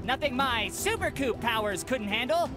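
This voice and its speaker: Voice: mid-range voice, animated, normal speed, music in the background, natural voice. An actor playing an earnest, plucky young boy superhero in a comedy cartoon game, mock-heroic in manner. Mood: heroic, defiant. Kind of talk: animated comedy game acting.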